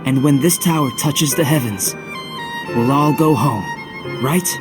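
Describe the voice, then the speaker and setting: A man's voice: mid-range voice, unhurried, lightly acted. A man voicing an earnest, idealistic believer in a video game, full of hope. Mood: fervent. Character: hopeful, earnest male follower, idealistic believer. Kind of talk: English video game voice acting. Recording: game music under the voice.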